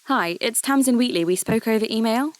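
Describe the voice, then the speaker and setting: A woman playing a polite, curious radio host who investigates mysteries for a podcast, speaking in a measured, questioning way. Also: fairly high pitch, no echo, close to an everyday voice. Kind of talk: scripted narration and phone interview.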